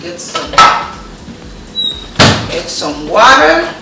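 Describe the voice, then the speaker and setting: Casting: Nigerian-accented woman, lower-pitched voice, chatty food vlogger walking through a recipe over background music. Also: sing-song, extremely slow, creaky, low-quality recording. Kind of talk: casual cooking tutorial narration.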